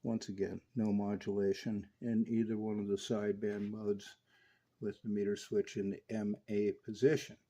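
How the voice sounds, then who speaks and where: A man's voice: lowish voice, little pitch movement, normal speed, dark tone. A man working as a radio repair technician, methodically narrating a hands-on demonstration for a customer step by step. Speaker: radio repair technician presenting his restoration work.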